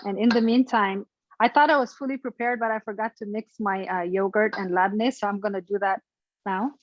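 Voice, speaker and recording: A woman's voice: mid-range voice, steady tone, a little fast, lo-fi sound, noisy background. A young woman with an Afghan accent, chatting hesitantly and laughing as she walks through cooking a family dish. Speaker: guest cook. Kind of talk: casual cooking demonstration.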